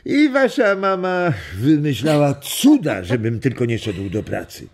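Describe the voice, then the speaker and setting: An actor playing a warm old grandfather who reminisces in an animated way in a children's radio play. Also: fairly high pitch, a little hiss or hum. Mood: storytelling. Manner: animated storytelling with chuckles and drawn-out words.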